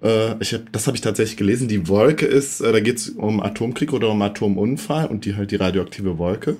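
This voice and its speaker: A hoarse man with a cold, co-hosting a casual podcast chat and explaining things at length with many hesitations. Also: lowish voice, fairly quick, a little mellow. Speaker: podcast co-host.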